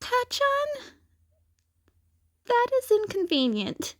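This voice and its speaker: A woman's voice: extremely high pitch, huge pitch swings, takes it slowly, pitched above the performer's own voice, full character voice. A woman voicing a playful, impulsive teenage boy training as a hero in a story reading. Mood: disapproving.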